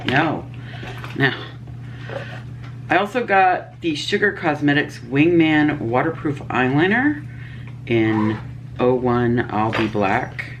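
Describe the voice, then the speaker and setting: Very low-voiced sniffly woman vlogger. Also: animated, takes it slowly, pauses often, highs cut off, slight background noise. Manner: chatty, reads prices and labels. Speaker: beauty vlogger. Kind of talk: casual unboxing chat.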